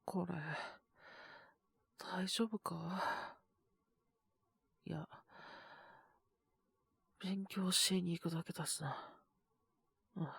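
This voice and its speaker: A woman's voice: lowish voice, steady tone, drawn-out words, vocal fry, pauses often, fairly bright tone, breathy edge, dry recording, exaggerated voice. A woman voicing a sick, tired young male teacher in an audio drama, brusque and sighing, with a weary mutter. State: feverish, coughing. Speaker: voice actor. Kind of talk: Japanese situation-voice audio drama.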